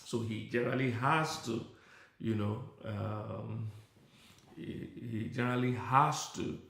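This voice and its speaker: A man's voice: lowish voice, vocal fry, roomy sound. A middle-aged male pastor giving a conversational sermon, now and then breaking off to talk to his tech team. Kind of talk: sermon teaching.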